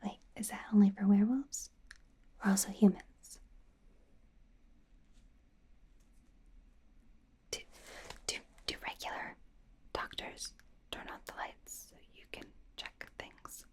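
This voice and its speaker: A woman playing a friendly, flustered young werewolf doctor in training in an ASMR roleplay, speaking in a soothing, hesitant, breathy whisper. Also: medium pitch, fairly even intonation, normal speed, warm tone. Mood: flustered, playful. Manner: soothing, hesitant, rambling, self-correcting.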